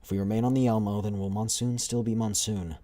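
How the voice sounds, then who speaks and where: A man with a deep voice reading the lines of a calm, composed female squad leader in a measured, formal way. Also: natural voice.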